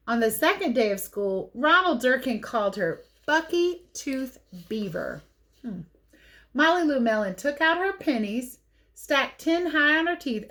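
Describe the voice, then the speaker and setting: Animated woman teacher reading a storybook. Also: mid-range voice. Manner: expressive, playful, chatty commentary on the pictures. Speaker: teacher reading a picture book to children. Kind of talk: animated read-aloud storytelling with casual asides.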